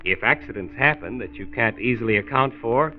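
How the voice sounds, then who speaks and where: An actor playing a folksy, earnest narrator who gives health advice in a scripted 1940s radio series. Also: male voice, mid-range voice, animated, a little fast, soft background music, lightly acted. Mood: earnest, instructive. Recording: tinny 1940s radio recording.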